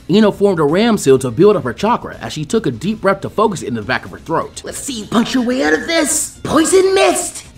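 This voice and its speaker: A man reading a fan fiction story aloud as an animated narrator. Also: high voice, husky.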